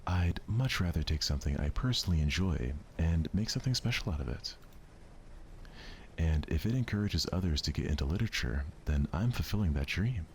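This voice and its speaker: A man's voice: extremely low pitch, expressive, fairly quick. Man as friendly schoolgirl leader, assured, encouraging, visual novel dialogue, faint background noise. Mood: friendly, confident. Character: confident, friendly teenage girl club president.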